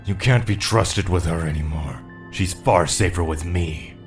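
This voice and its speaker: Deep, menacing spirit. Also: male voice, soft background music. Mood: cold menace. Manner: cold, slow, threatening. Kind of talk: fantasy audio drama acting.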